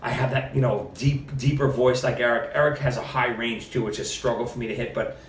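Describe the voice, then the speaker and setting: A middle-aged man tells stories with plenty of energy, acting out other people's lines as he goes. His voice sits at a middle pitch. He sounds a little far from the mic in a room with noticeable echo. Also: a little fast, a little hiss or hum. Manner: animated storyteller, quotes others with lively shifts. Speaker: podcast guest. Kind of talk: casual storytelling interview. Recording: roomy, mic at a distance.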